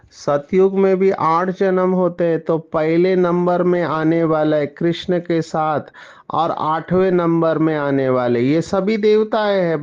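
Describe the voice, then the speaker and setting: Slow, clear-voiced male spiritual teacher. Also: fairly high pitch, a little mellow, lo-fi sound. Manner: slow, explanatory, mixing in English words. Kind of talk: spiritual discourse.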